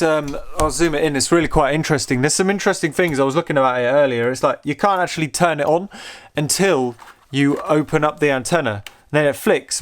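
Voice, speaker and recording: A man giving casual, unscripted commentary as a host showing a gadget, rambling and halting with repeated words, curious and easily amused. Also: a little high. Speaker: host of a vintage technology channel.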